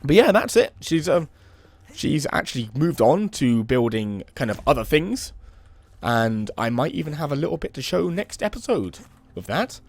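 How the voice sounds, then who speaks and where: Hoarse young man narrating gameplay. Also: on the high side, moderate pace, no room sound. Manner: relaxed, rambling, many "um" and "kind of". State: hoarse from a cold. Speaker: let's play host. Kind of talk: casual gameplay commentary.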